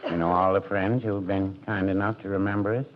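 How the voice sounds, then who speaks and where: An actor playing a meek, gentle married shopkeeper who talks slowly and hesitantly in a 1940s radio comedy. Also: male voice, low voice, fairly even intonation, natural voice. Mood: mild. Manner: slow, hesitant, soft-spoken, drawn-out. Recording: muffled noisy vintage radio broadcast.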